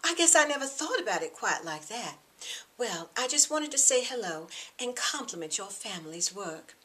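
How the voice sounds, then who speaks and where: A woman's voice: a little high, big pitch swings, moderate pace, fairly bright tone, voice pushed up. A woman voicing a gushing, chatty middle-aged mother and gracious lady of the house in an audiobook. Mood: breezy, gracious.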